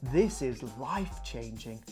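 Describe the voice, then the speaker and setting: A thoughtful detective played by a man speaks politely and earnestly in a children's audiobook. His delivery is measured, at a middling pitch, with soft music behind. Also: lively intonation. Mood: amazed. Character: Inspector Smart, thoughtful, polite male detective. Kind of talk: children's audiobook character reading.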